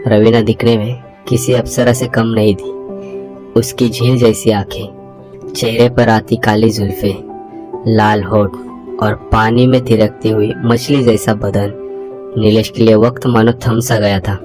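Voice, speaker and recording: A man's voice: on the low side, level tone, measured pace. A man narrating a scripted bedtime story with dramatic storytelling and voicing the hero's lines. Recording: loud music bed under narration.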